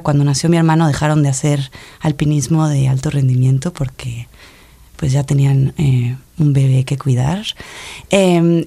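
A woman from Mexico City with a Mexico City Spanish accent, speaking as an interview guest in a reflective, thoughtful way with many pauses and restarts. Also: low voice, vocal fry, darkish tone.

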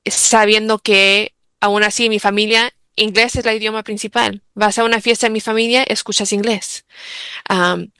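Bright, crisp-voiced bilingual woman guest. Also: mid-range voice, dry recording. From United States. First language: English. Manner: reflective, many fillers and restarts. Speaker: interview guest, bilingual mother. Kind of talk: interview answers.

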